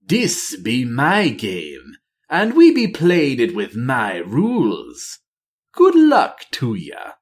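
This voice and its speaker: A mocking trickster troll spirit from a fantasy storybook. He draws his words out slowly and taunts in a patois-like dialect. His pitch leaps around as he jeers. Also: huge pitch swings. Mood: mocking, jeering.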